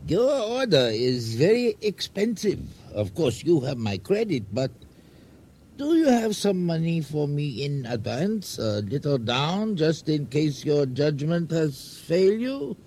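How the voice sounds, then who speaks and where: A man playing a sly, suspicious, haggling island storekeeper in a radio drama, needling in deliberate, clipped formal phrases. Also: a little high, very animated, darkish tone, slight background noise. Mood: shrewd.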